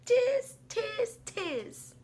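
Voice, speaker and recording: Small, defiant storybook monkeys, put on by a woman. They chatter back in short repeated syllables, very high and swooping, cheekily mimicking. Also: sing-song, very slow, warm tone, slight background noise, exaggerated voice. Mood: cheeky mocking.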